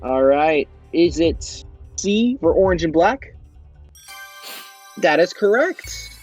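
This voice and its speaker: A young man with a slightly high, husky voice hosts a fan-made game show quiz. He reads each question and its choices in a bright, upbeat quizmaster manner. Light music plays behind him. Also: animated, takes it slowly.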